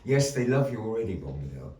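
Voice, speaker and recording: A man hosting a live show as storyteller, with grandiose, deliberate phrasing and playful asides to the audience. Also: lowish voice, sing-song, darkish tone. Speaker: storyteller and master of ceremonies. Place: indoor gallery. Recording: roomy echo, voice distant from mic.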